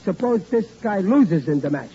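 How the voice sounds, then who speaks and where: Blundering sitcom dad: high voice, blustery, stammering, boastful, muffled old radio sound. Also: male voice, big pitch swings, normal speed, warm tone. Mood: eager, scheming. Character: blundering, boastful, stubborn working-class sitcom husband and dad. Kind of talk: 1940s radio comedy acting. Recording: muffled old radio recording, faint noise.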